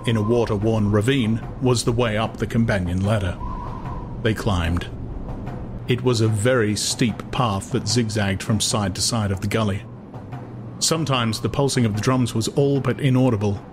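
A man narrating a literary audiobook in a dramatized storytelling style. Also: medium pitch. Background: music.

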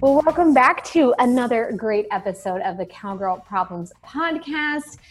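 A woman's voice: fairly high pitch, animated, a little slow, faint music behind, low-quality recording. Host: woman from Kansas, chatty and gushing, friendly interview lead. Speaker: podcast host. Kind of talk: casual interview hosting.

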